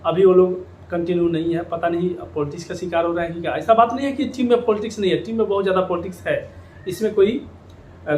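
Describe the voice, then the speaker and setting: A man giving informal opinion commentary to viewers, repeating phrases for emphasis. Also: high-pitched. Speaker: YouTube commentator on Bhojpuri music industry. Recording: echoey room with background noise.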